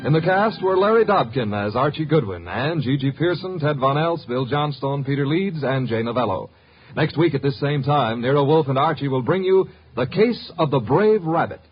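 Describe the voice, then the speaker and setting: A man's voice: medium pitch, animated, moderate pace. A man announcing scripted credits in the style of 1950s radio. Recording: dull 1950s radio recording. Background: music.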